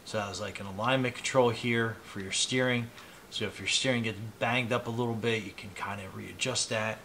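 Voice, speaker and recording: A man in his fifties giving a casual, unhurried product demonstration, explaining each step informally as he goes. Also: fairly low pitch, fairly even intonation. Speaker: product reviewer demonstrating gadgets on his channel. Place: small indoor hobby room at a desk. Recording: clear background noise, clicks of handled items.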